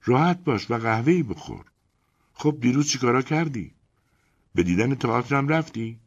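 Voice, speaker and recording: A man reading aloud and voicing a quick-tempered young wife who shrieks and complains in indignant bursts. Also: mid-range voice, animated, breathy edge, pitched above the performer's own voice. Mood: casual. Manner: shrieking, exasperated, rapid complaints. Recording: dull lo-fi recording.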